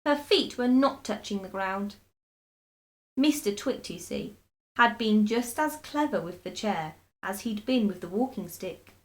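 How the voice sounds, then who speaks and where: A woman narrates a children's storybook aloud. She takes her time and uses widely varied intonation, reading expressively in a middle-pitched voice. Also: expressive, measured pace.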